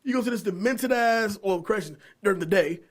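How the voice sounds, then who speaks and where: A middle-aged American man hosting a casual podcast, telling stories in an animated, slangy, profane way. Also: high voice, fairly quick. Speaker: podcast host.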